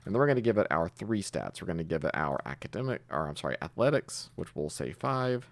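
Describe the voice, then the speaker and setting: Low creaky guy walking thru code step by step, super dry close sound. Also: very animated, fast, no room sound. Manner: explains step by step while typing, thinking aloud. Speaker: programming tutorial host. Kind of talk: informal screen-recorded coding tutorial.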